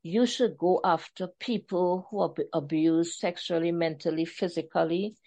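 A woman talks about her writing in a casual interview. She is an animated storyteller who acts out the voices of the people she quotes. Her voice sits a little low. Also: normal speed. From Trinidad and Tobago. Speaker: author guest.

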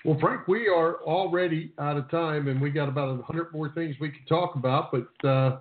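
A man's voice: on the high side, moderate pace, a little hiss or hum. A man hosting a sports radio program, chatting casually with his guest. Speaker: radio host. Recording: echoey, phone-like narrow band.

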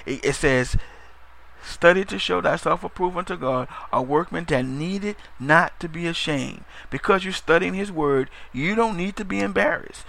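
Gravelly, bright-voiced preacher man. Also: a little high. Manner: emphatic, repeats phrases, call-and-response "Amen?"; stumbles over words, drifts into fast talk. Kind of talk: broadcast sermon.

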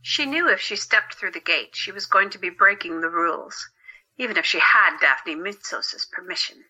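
A woman's voice: medium pitch, animated, normal speed, bright, forceful tone, low-quality recording. A woman narrating a scripted story as the narrator of an audio drama.

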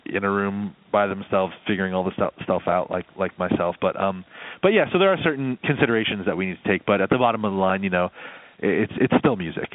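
Deep-voiced man on a phone-like line. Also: expressive, fast, dry recording. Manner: explanatory, meandering, with frequent "you know". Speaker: film and game composer, interview guest. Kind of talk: casual interview talk. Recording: muffled narrowband phone-like line.

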